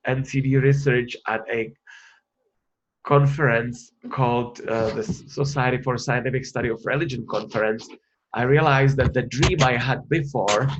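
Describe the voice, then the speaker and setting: A man's voice: mid-range voice, lo-fi sound, slight background noise. A man from the Republic, presenting research in an online webinar, hesitant with many fillers and restarts. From Czech Republic.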